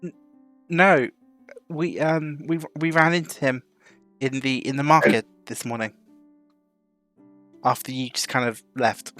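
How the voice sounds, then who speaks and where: A man's voice: medium pitch, steady tone, a little slow, pauses often, fairly bright tone, soft background music. Stammering man in a tabletop roleplay. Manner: hesitant, stammering. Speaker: tabletop player. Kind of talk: in-character roleplay.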